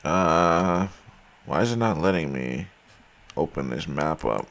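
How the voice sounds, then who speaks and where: A man's voice: very deep voice, lively intonation, slow pace, a bit bright. A man talking casually and emphatically to his viewers, saying he is losing his voice. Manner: emphatic, argumentative, addresses viewers as guys. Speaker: video host.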